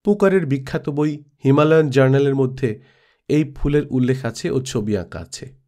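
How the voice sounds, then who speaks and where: A man's voice: medium pitch, normal speed, mellow. Man reading a literary story. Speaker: storyteller reading a classic audiobook. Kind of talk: scripted literary narration.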